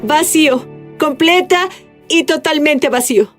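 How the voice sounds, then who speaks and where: A woman guest tells her life story earnestly in an interview, with halting restarts. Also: sits high, soft background music. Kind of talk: dubbed interview testimony.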